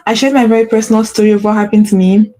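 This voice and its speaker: A woman with a mellow, soft-edged voice talks straight to her listeners as a spiritual coach, circling back over the same points and asking "right?" as she goes. She mentions a stutter and corrects herself often. There is a faint hiss behind her. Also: medium pitch, fairly even intonation, very fast. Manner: earnest, repetitive, with frequent "right?" check-ins and self-corrections. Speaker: podcast host and spiritual coach. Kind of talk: solo motivational talk.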